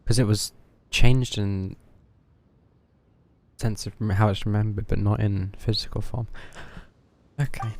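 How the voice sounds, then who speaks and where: A man giving casual live stream commentary on a video game, with halting, wry reactions. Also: on the low side, steady tone, a little mellow. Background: game music.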